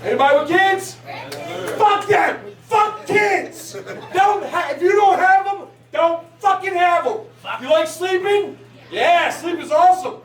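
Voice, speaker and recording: A man in his forties doing a crude stand-up set, profane and brash, shouting at the crowd. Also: very high-pitched, unhurried. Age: 40s. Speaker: stand-up comedian. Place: large hall at a live show. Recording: echoey hall recorded from a distance with crowd noise. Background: laughing crowd.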